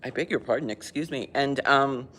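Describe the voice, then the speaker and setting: An older woman hosting a casual livestream chat in a library, chatty and self-mocking, quick to laugh at herself. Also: extremely low pitch, a bit bright, slight background noise. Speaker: livestream host. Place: public library.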